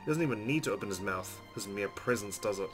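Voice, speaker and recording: A low-pitched man narrates a visual novel at a brisk clip. He reads expressively as a let's play host, with music playing underneath. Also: animated. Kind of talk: expressive reading of story narration. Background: game music.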